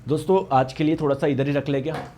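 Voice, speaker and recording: A male science teacher with a hoarse voice, lecturing an online exam-prep class. He asks questions and answers them himself, and he repeats each term in Hindi and English. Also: on the high side, quick pace, dark tone. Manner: addresses students as friends.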